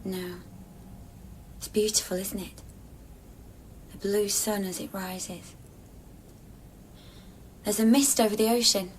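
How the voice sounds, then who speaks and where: An actress playing a wistful, uncertain woman in an intimate radio drama, her speech soft and halting. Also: mid-range voice, steady tone, a little hiss or hum, close to an everyday voice, low-quality recording. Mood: dreamy, wondering.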